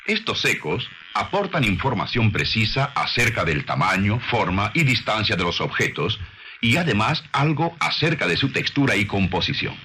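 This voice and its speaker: Deep-voiced male documentary narrator, noisy soundtrack. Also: sing-song, bright, forceful tone. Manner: measured, formal, didactic. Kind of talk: scripted science documentary narration.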